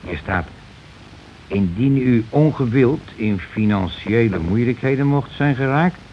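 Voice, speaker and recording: An actor playing a gruff, bossy, irritable working-class husband in a radio play, with coarse, nagging, impatient talk. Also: male voice, mid-range voice, lively intonation, a little slow, natural voice. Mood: grumbling, matter-of-fact. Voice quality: coarse colloquial speech. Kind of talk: naturalistic radio play acting. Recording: dull, noisy old radio recording.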